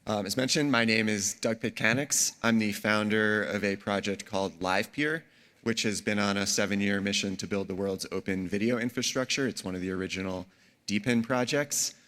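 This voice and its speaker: A man with a cold giving an energetic conference talk, with frequent fillers and restarts. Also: fairly low pitch, fairly quick. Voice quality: a bit congested. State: under the weather, has a cold. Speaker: conference speaker.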